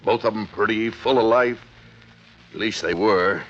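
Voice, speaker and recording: An actor playing a folksy, chummy insurance office manager in a 1950s radio drama, chatty and a bit hesitant. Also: male voice, fairly low pitch, measured pace, fairly bright tone. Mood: grave, businesslike. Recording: dull, faintly noisy vintage radio recording.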